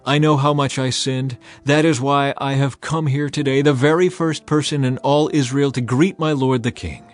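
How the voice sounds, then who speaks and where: A man's voice: mid-range voice, steady tone, normal speed, pitched above the performer's own voice, close to an everyday voice. A man voicing a humble, penitent subject pleading with a king in a Bible drama. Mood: contrite, earnest.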